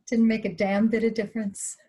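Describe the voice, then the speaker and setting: Woman acting husky, biting middle-aged screen star. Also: medium pitch. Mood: rueful. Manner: biting, wry, emphatic repetition. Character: fifty-four-year-old Lucille Ball, nervous, tart-tongued, bitter screen star. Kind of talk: dramatic screenplay table read.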